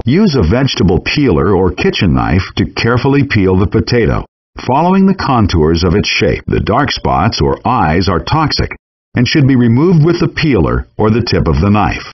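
Male game voice, low and husky, measured instructional read with very lively pitch, muffled lo-fi audio. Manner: calm, measured, instructional delivery. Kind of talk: recorded game voice lines, step-by-step instructions. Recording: dull lo-fi game audio rip.

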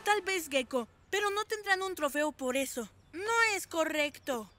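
Little hero kid, latin american cartoon dub, really high, alert and sensible, loud music. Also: lively intonation, a little slow. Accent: Latin American Spanish. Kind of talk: animated children's cartoon dub.

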